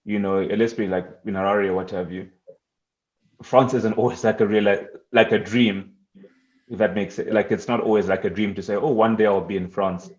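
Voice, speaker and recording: A man's voice: on the low side, steady tone, normal speed, a little mellow. Zimbabwean man hosting, dull echoey sound. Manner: engaged, frequent affirmations. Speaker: podcast host. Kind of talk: conversational interview.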